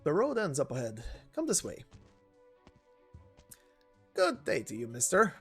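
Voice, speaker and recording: A man's voice: a little high, wildly swooping pitch, unhurried, a bit bright, breathy edge, faint music behind, exaggerated voice. A man voicing a curious, chatty villager from a sleepy backwater in a game story, musing aloud and greeting politely. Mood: wondering, friendly.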